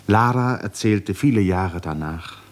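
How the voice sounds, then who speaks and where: Low-voiced male radio drama narrator. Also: expressive, measured pace.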